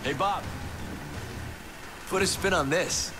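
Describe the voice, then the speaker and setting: An actor playing a cocky, defiant young demon hunter in a video game, brash and curt. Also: male voice, high voice, slow pace. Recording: game audio under loud music.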